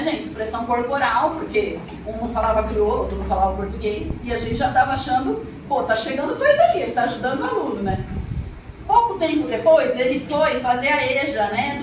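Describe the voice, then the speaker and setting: A middle-aged woman, a storyteller and arts teacher, telling stories expressively and chatting directly with a live audience. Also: sits high. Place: echoey room with a live audience. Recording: echoey room, distant mic, noisy ambience.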